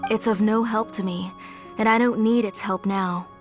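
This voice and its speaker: A woman's voice: mid-range voice, fairly even intonation, normal speed, soft background music, lightly acted. An actress playing a frail, devoted mother and name engraver in a video game, earnest and solemn in manner. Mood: weary, resolute. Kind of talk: dramatic video game voice acting.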